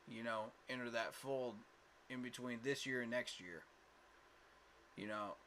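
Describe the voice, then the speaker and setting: Man, casual fan commentary, emphatic, repeats himself, tired, mid-pitched voice, faint background noise. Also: a bit bright. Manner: emphatic, fillers, repeats words. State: tired from little sleep. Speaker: fan channel host.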